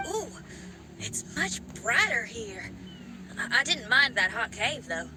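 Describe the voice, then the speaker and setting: A woman's voice: a little high, very animated, slow pace, very noisy. A woman voicing an upbeat young rock musician with a rebellious spirit, who laughs easily. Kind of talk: video game dialogue.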